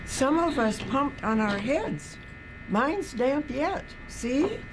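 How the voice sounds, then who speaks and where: A woman's voice: high voice, sing-song, slow pace, noisy background, voice pushed up, heavily acted. A woman voicing a mischievous, evasive young boy with folksy country speech in a storybook. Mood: cocky.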